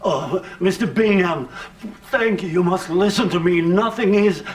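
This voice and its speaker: High-pitched frantic Soviet scientist. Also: male voice, a little slow. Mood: frantic pleading. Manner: agitated, pleading, urgent. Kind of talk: video game cutscene acting.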